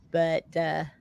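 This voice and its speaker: Chatty middle-aged Texan woman hosting a live chat, lowish voice, dry and close. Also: vocal fry, a little mellow, no echo. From Texas. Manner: chatty, rambling, laughs often. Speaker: host of a live chat show. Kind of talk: casual chat with viewers.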